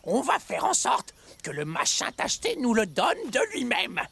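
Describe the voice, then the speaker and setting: An actor voicing a sly scheming weasel in a cartoon, sneaky and cackling. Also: male voice, very high voice, huge pitch swings, moderate pace, creaky, bright, forceful tone, slightly rough, no echo, exaggerated voice. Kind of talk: cartoon voice acting.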